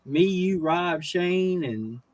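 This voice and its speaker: A man's voice: sits high, expressive, slow. A man in casual group conversation, with informal, folksy phrasing.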